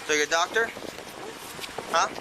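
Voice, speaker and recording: A man working as a police officer, giving firm, rapid instructions during a roadside sobriety test. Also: on the high side. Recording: noisy police bodycam audio.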